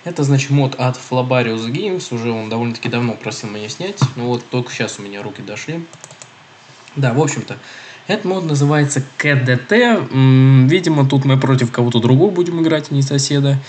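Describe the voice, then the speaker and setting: Fast-talking man with a stuffy nose. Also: medium pitch, darkish tone, a little hiss or hum. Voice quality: nasal. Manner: chatty, rambling, self-correcting. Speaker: gaming video host. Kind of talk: casual let's-play commentary, reading game text aloud.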